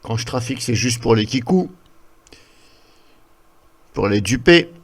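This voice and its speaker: A man talks through a software tutorial at an ordinary middle pitch. He explains informally, circling back over his points and rambling a little. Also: expressive, a little slow.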